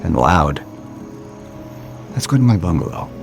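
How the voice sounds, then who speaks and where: A man playing a yearning, hesitant lover in an audio soap opera, intimate and halting. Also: very deep voice, very animated, vocal fry, loud music behind the voice, heavily acted. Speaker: actor.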